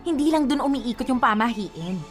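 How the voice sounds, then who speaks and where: A woman's voice: fairly high pitch, lively intonation, moderate pace, faint music behind, close to an everyday voice. A woman with a Filipino accent playing a superstitious, stubborn young bride-to-be in a radio drama, scolding and insistent. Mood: agitated, stubborn.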